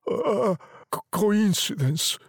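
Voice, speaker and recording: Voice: mid-range voice, lively intonation, takes it slowly, darkish tone, husky, no room sound, clean studio sound, pitched above the performer's own voice, exaggerated voice. A storyteller voicing a frightened but tender old husband, protesting in a shaky voice in a dramatic story reading. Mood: shaken.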